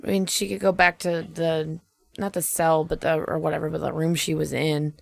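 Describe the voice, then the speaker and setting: Low, creaky-voiced woman, blunt and offhand. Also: little pitch movement, normal speed, dry recording. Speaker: podcast co-host. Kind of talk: casual improvised brainstorming chat.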